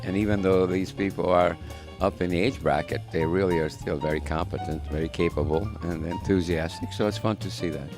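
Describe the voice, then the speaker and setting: An older man from Hungary, a senior tennis champion, talking in a measured, reflective way. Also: low-pitched, over music.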